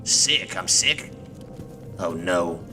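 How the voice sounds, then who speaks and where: A man's voice: low voice, expressive, drawn-out words. A man giving casual, profane gameplay commentary, muttering to himself as he plays. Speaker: gamer streaming a playthrough. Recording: loud music behind the voice.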